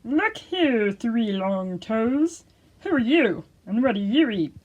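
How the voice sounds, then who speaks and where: Lady doing a big loud braggy frog puppet for kids, kinda high n slow, bit muffled. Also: very animated, exaggerated voice. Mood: brash, loud. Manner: loud, drawn-out, shouting questions. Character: brash, boastful big wide-mouthed frog puppet. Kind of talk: children's storytime puppet voice.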